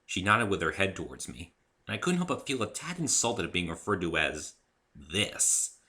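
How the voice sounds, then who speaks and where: A man reading his own story aloud in the first person, in an expressive, theatrical narrating style. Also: low-pitched, moderate pace.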